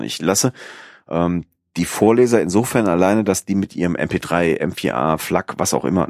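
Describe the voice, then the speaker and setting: A man's voice: deep voice, dry recording, clean studio sound. A man hosting a podcast in casual chat, rambling with many fillers and restarts. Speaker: podcast host.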